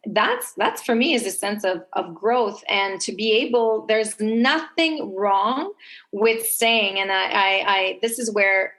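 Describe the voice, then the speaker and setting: Female podcast guest, medium pitch, conversational advice-giving, explains by acting out inner dialogue. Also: slow pace, a bit bright. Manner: explanatory, voices her own self-talk.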